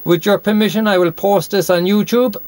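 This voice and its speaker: High-pitched Irishman working a CB radio. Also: steady tone, fairly quick, darkish tone. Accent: Irish, from Cork. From Ireland. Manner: repeats and spells out words in radio phonetics. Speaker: CB radio operator. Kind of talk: radio contact chat. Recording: noisy CB radio shack recording.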